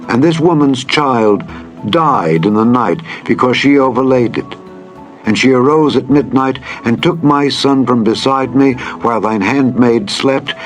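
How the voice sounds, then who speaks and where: Man as aggrieved biblical mother, raised pitch, pleading, insistent, formal, dramatized scripture, faint music. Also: close to an everyday voice. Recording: music bed under the reading.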